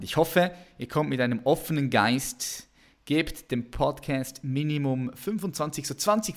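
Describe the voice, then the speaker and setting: Guy hosting a podcast, mid voice, casual and kinda hyped, chatty intro style. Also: mid-range voice. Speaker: podcast host.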